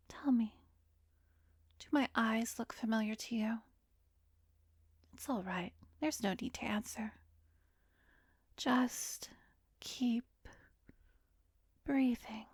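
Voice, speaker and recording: An arrogant, cruel vampiress, played by a woman, speaks in a slightly high, seductive voice. She takes her time over every hypnotic command, breathy and close in a dry ASMR roleplay recording, often dropping toward a whisper. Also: no room sound, heavily acted. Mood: soothing, hypnotic.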